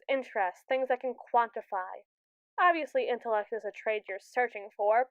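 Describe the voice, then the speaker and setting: Casting: woman to play a domineering, curious robot AI for ASMR roleplay. Smooth, clear female voice, smug sass, theatrical delivery, very dry close recording. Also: a little high, no room sound, phone-quality sound. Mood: eager, probing. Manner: sassy, theatrical, verbose and teasing. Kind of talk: ASMR roleplay monologue addressed to the listener.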